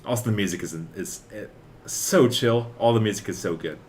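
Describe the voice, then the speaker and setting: A man's voice: lowish voice, brisk, a little mellow. A young man co-hosting a podcast, chatting casually and enthusiastically about video games in a rambling way. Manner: enthusiastic, rambling, frequently chuckling. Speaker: podcast co-host.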